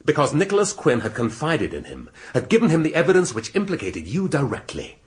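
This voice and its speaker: An actor playing a shrewd middle-aged English police inspector in a radio drama, speaking deliberately and rhetorically with dramatic pauses. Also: male voice, fairly high pitch, wildly swooping pitch, darkish tone. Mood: pressing, sardonic. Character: shrewd, theatrical male police inspector laying out a murder case.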